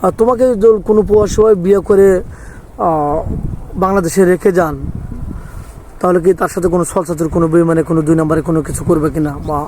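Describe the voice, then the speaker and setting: A man's voice: fairly high pitch, fairly even intonation, quick pace, darkish tone, a little hiss or hum, poor audio. A man with a Bangladeshi accent hosting an informal interview as the interviewer. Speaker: host interviewer.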